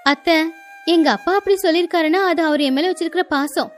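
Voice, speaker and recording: A woman's voice: extremely high pitch, fast, soft background music. A woman voicing an earnest, dutiful young village daughter-in-law who speaks respectfully in a moral-story cartoon. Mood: earnest pleading.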